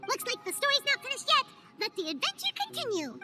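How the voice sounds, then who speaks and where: A woman voicing a tiny, childlike, excitable fairy companion in a video game, with a squeaky, piping voice. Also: quick pace, music in the background, exaggerated voice. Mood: upbeat. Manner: excitable, exclaiming.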